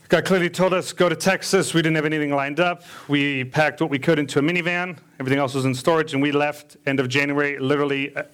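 A man's voice: on the high side, a bit bright, clear, resonant tone. A man from South Africa who grew up in the American Midwest, preaching as a deacon in church and telling his own testimony in a conversational way with frequent fillers and humorous asides. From born in South Africa, raised in the US Midwest. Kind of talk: sermon with personal testimony. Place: church stage.

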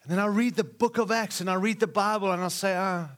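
A man's voice: high-pitched, steady tone, fairly quick, a bit bright. A middle-aged man, preaching to a live church with repeated, rousing phrases and jokes for the congregation. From Australia. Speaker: guest preacher.

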